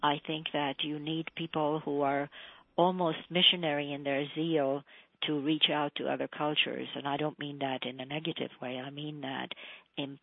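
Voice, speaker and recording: Woman, middle-aged, from Hungary, diplomat guest; earnest, measured; dry, phone-line sound. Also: low voice, flat delivery, no room sound. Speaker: Foreign Service officer. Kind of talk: radio interview guest.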